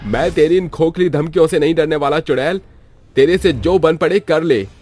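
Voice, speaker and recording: A man's voice: fairly high pitch, moderate pace, darkish tone, pitched above the performer's own voice, natural voice. A man voicing a bold young writer in a moral story, earnest and declamatory. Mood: defiant. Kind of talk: Hindi moral story dramatization.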